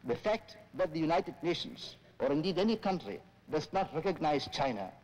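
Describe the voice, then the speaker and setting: A man's voice: a little high, sing-song, moderate pace, darkish tone. A man in his fifties from India, speaking formally as a delegate in a pointed, argumentative way. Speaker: delegate, diplomat. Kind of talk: formal debate speech. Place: large assembly hall podium. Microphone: podium microphones. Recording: reverberant hall, 1950s newsreel.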